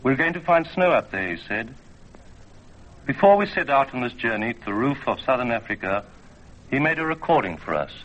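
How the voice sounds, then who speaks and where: A man with a medium-pitched voice tells a scripted story for radio. His delivery is measured and literary. The vintage recording is slightly dull. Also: very animated, fairly bright tone. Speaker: storyteller and producer.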